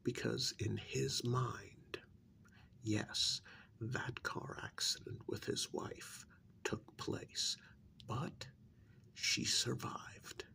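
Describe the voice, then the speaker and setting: Man playing a cold covert-agency superior, heavy vocal fry, slow deliberate pace, soft half-whisper, condescending, ASMR role-play. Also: mid-range voice, fairly even intonation, heavily acted. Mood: measured, insistent. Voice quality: breathy, half-whispered, gravelly. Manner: measured, patronizing, deliberate, with pointed pauses. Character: cold, condescending male secret-agency superior briefing an operative.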